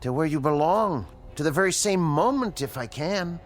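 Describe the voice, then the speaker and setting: An actor playing an educated, earnest scientist in a radio drama, American, measured and questioning. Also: male voice, a little high, lively intonation, faint music behind, lightly acted. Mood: grave, probing. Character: earnest scientist, educated, patient, morally probing male doctor.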